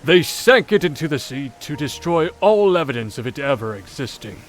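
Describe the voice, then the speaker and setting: A man voicing a game character who recounts an old legend in a measured, formal, theatrical way. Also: a little high, sing-song. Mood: grave, dramatic. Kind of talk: dramatic scripted visual novel storytelling. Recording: game audio with background music.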